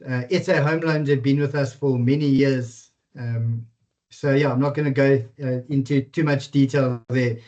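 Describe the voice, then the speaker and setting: A man casually presenting his business software on a webinar, with many ums and uhs. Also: mid-range voice, fairly even intonation, normal speed. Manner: casual, filler-heavy. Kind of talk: product presentation. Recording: dull lo-fi video-call audio.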